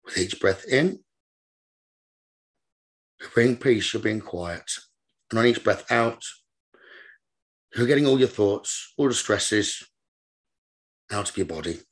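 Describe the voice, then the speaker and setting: A man leading a guided meditation as a teacher, giving gentle, repetitive instructions in a slow manner. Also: on the low side, animated, breathy edge.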